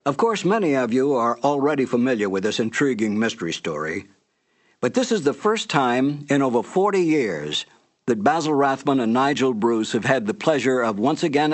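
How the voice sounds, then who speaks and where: A man giving a scripted introduction as a radio host. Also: mid-range voice.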